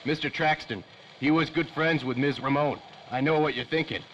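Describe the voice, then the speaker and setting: A man's voice: on the high side, steady tone, normal speed, fairly bright tone, lo-fi sound, slight background noise. A man playing a nervous, superstitious, deferential helper to a detective in a 1930s-style radio drama. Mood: uneasy. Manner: jittery, deferential.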